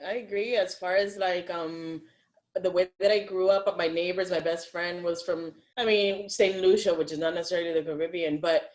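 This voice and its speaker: A woman speaking informally as a panelist, in short, halting phrases. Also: on the low side, steady tone, a little mellow, rough recording. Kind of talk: casual self-introduction and panel talk.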